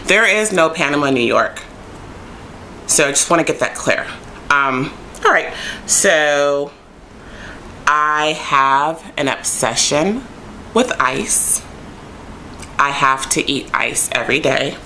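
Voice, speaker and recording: A woman vlogger chatting casually to camera, rambling with lots of ums and likes and jumping up in pitch when excited. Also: slow, pauses often, slight background noise, rough recording. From United States. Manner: animated, jumps high when excited.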